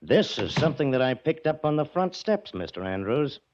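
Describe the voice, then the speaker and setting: An actor playing a tough, curt bodyguard who gives orders and wisecracks in a 1940s radio drama. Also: male voice, medium pitch, sing-song, normal speed, a little mellow, lightly acted. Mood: menacing, sardonic. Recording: muffled, slightly noisy old radio recording.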